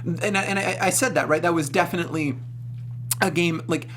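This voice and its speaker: A man's voice: fairly high pitch, steady tone, a little fast, a bit bright. A man co-hosting a casual film review chat, informal and slangy, often checking for agreement. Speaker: talk show co-host. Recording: noisy room with electrical hum.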